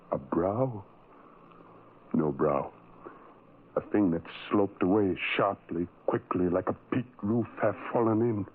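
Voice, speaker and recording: A man's voice: medium pitch, narrow, tinny audio. An actor playing a tormented, self-loathing ugly man who narrates his life in anguished, brooding, repetitive phrases, in a 1970s radio drama style. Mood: horrified, brooding. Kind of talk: 1970s radio drama acting, first-person monologue. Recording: thin vintage radio sound, music underneath.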